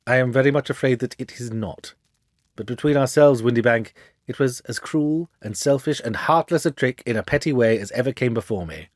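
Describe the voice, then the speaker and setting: A man voicing a shrewd, self-assured gentleman detective for a storybook, with a precise, theatrical, lecturing manner. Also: medium pitch, fairly quick, dry recording, clean studio sound, lightly acted. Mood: scornful, explanatory.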